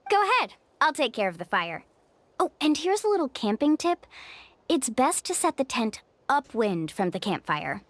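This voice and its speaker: A woman's voice: high-pitched, big pitch swings, moderate pace, fairly bright tone, exaggerated voice. A woman playing an upbeat, caring young tour guide in a video game, with a reassuring, confident manner. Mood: cheerful.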